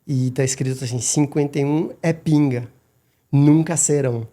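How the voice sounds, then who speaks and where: A middle-aged man, a guest on a podcast, talking casually and haltingly with many restarts and repeated words. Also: mid-range voice, steady tone, mellow. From Madagascar. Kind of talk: casual interview talk.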